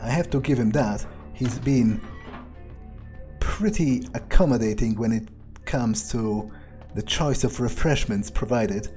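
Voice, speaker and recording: A man playing a cold, predatory vampire who thinks aloud in a halting, muttering way during a game. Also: mid-range voice, warm tone, soft background music, natural voice. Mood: cold menace. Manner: slow, halting, muttering to himself. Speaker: player role-playing a character. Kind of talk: in-character game playthrough monologue.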